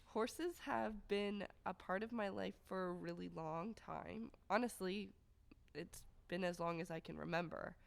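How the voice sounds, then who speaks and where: A woman co-hosting a casual podcast, her voice raspy from being sick, easygoing and laughing often. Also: fairly low pitch, measured pace. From Canada. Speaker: podcast co-host.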